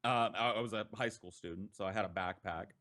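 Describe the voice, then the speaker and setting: An American man, a podcast storyteller, recounting an anecdote in a conversational, profane way with dramatic pauses. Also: on the low side, sharp tone. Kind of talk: casual anecdote telling.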